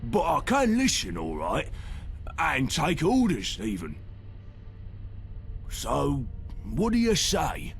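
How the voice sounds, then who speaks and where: An actor playing a simple, good-hearted big brawler in a video game, with a gravelly British voice and a halting, earnest manner that fumbles for words. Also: male voice, on the high side, huge pitch swings, soft background music, heavily acted. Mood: earnest, plain. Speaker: companion character in a video game.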